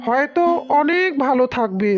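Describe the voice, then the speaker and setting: Woman voicing cartoon village dad, high voice, aggrieved n protective husband, music behind. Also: expressive, moderate pace, muffled recording. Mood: sorrowful. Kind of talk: cartoon fairy-tale dialogue. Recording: music under the voice.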